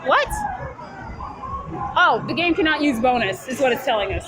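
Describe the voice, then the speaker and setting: Casting: woman, very high voice, excitable casual game-side coaching, loud arcade crowd around her. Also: normal speed. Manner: excitable, coaching friends along. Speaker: vlogger. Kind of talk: casual chat while playing arcade games. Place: busy arcade. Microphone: handheld phone. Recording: loud music and crowd noise behind. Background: loud music, crowd, arcade bustle.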